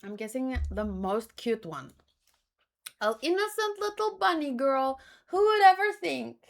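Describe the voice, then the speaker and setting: A woman with a fairly high voice hosts a casual read-along commentary. She speaks slowly and muses out loud. Her delivery is hesitant, with words often repeated as she thinks. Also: big pitch swings, creaky. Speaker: host of a manga read-along.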